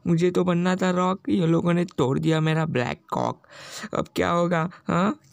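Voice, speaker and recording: A man's voice: high-pitched, fairly even intonation, moderate pace, vocal fry, no echo, studio quality. A man voicing a boastful, lovesick young male slacker in a cartoon parody dub, chanting in a sing-song way. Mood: lamenting.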